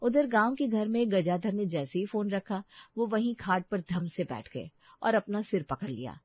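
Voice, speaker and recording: A woman's voice: medium pitch, expressive, moderate pace, slightly rough, no echo. Female narrator for scripted cartoon story: middle-range voice, dry, slightly dull sound.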